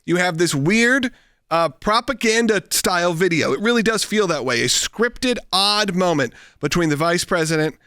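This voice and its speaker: High-pitched American male political commentator. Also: animated, moderate pace, sharp tone, smooth, no room sound, clean studio sound. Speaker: talk show host.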